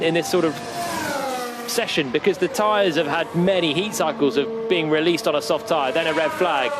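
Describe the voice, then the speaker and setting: A man giving live motor racing commentary and analysis. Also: a little high.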